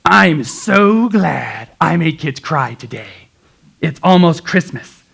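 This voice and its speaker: A performer voicing a grumpy old tiger in a children's Christmas puppet show, gruff and gloating. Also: medium pitch, animated, slow, fairly bright tone, full character voice. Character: grumpy old male tiger who loves meanness.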